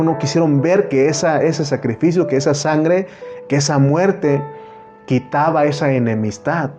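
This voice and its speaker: A man's voice: mid-range voice, highs cut off. A man with a Mexican accent preaching a sermon, earnest and repetitive, often calling the listener brother.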